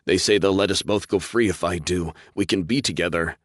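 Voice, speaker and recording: A man's voice: low voice, brisk, dry recording, studio quality. A man voicing a determined young man who speaks earnestly and insistently, in an audiobook. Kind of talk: audiobook character voice.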